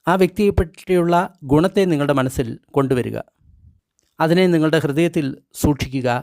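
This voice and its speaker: A man reads a guided meditation script in measured, instructional short phrases with pauses between them. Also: on the high side, darkish tone, no room sound, studio quality.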